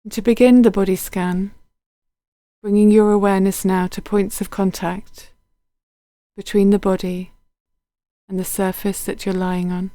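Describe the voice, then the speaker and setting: A woman guiding a body scan meditation in a gentle, unhurried, soothing manner, letting her phrases end softly. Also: medium pitch, little pitch movement, dry recording. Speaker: meditation guide.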